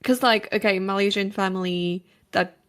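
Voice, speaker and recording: A woman hosting a podcast interview, friendly and upbeat, reacting with quick short affirmations. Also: mid-range voice, fairly even intonation, fairly bright tone. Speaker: podcast host. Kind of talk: casual interview conversation.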